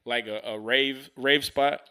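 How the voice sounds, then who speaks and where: A man hosts a casual podcast. His voice sits in an ordinary male register with a bright, punchy edge. He tells stories in an animated way, full of slang and swearing. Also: medium pitch, unhurried, clear, resonant tone. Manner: slangy, profane, animated storyteller. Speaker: podcast host.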